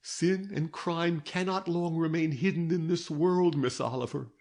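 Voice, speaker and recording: Man reading an older detective, stern, grave, insistent, very slow and deliberate. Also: on the high side, fairly even intonation, darkish tone, voice pushed up.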